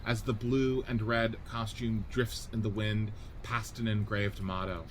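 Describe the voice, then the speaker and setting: A man's voice: on the low side, level tone, a little slow. A man narrating screenplay scene directions as a podcast host and reader. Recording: faint background noise.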